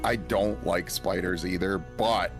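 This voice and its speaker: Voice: sits low, steady tone, unhurried, gravelly. A tabletop game player chatting casually and teasing the others at the table. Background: faint music.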